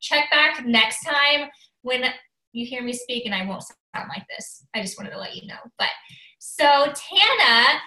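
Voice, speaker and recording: A woman's voice: sits high, expressive, a little slow, sharp tone, reverberant, low-quality recording. A woman coach with a cold, sounding slightly nasal, talking eagerly and chattily to a team. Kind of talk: casual training talk.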